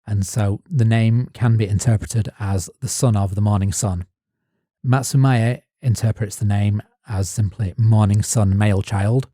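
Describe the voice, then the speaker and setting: A man co-hosting a podcast, reading his prepared notes as measured narration. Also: on the low side, fairly even intonation, mellow, no echo, studio quality. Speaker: podcast co-host. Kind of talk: scripted narration.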